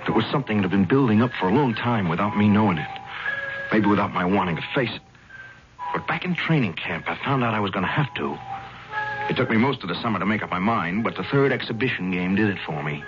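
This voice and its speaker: An actor playing an earnest, troubled young football player, narrating and talking in a 1970s radio drama. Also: male voice, fairly low pitch, expressive, fast, music in the background, close to an everyday voice. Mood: brooding, reflective. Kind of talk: 1970s radio drama acting, first-person narration and dialogue. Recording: muffled, very noisy 1970s radio recording.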